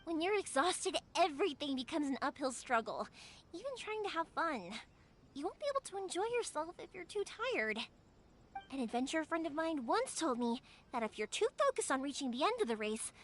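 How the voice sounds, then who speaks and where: An actress playing a cheerful, caring young woman in a video game, chatty, expressive and easygoing. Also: very high voice, a little fast, slight background noise. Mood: sympathetic, thoughtful. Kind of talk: video game character acting.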